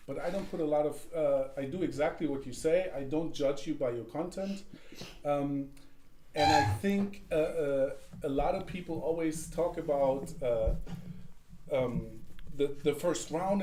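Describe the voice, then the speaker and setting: A man's voice: on the high side, a little mellow. A man player giving a nervous, rambling timed speech. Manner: nervous, rambling, laughing at himself. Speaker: tournament player. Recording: echoey room with faint noise.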